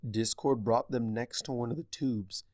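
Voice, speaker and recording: A man's voice: medium pitch, normal speed, darkish tone, no echo, studio quality. A man reading a fantasy story aloud as its narrator, in a dramatic scripted style. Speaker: fan fiction narrator.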